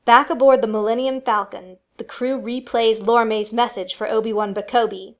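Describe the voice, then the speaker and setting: A woman's voice: on the high side, steady tone, normal speed. A woman reading scripted, dramatic narration for a family radio play.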